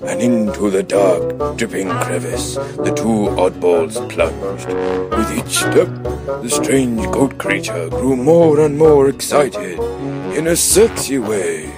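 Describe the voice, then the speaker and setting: A man narrates a comic radio play. His delivery is slow and mock-dramatic, like scripted storytelling played for laughs. Music plays loudly beneath his voice. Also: lowish voice, expressive. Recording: music bed behind the voice.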